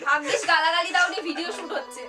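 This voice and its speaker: A woman's voice: very high voice, fast talker. A young woman with a West Bengal Bengali accent, talking in a dramatic, insistent, accusing way as on a prank phone call. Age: young adult. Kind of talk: animated prank phone calling, theatrical scolding. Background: background music.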